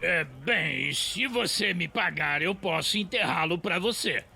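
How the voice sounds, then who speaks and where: A man playing a gruff, stingy tavern owner in an audio drama, scornful and blustering. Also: a little high, lively intonation, a little slow, sharp tone, heavily acted. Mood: sly, callous.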